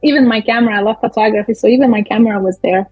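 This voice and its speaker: A young woman from Lithuania, a guest speaking in a friendly, halting way with many fillers. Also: a little high, slightly rough, no room sound, soft background music. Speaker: guest, business owner. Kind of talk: casual interview talk. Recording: muffled, dull audio.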